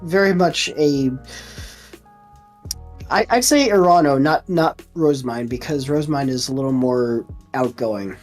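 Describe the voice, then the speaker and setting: Low-voiced woman in casual book chat. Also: measured pace, a little mellow, faint music behind.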